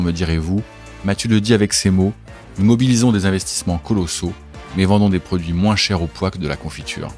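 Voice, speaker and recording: Deep-voiced man hosting a podcast. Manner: measured, deliberate reading; relaxed and hesitant in conversation. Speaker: podcast host. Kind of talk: scripted intro then casual interview.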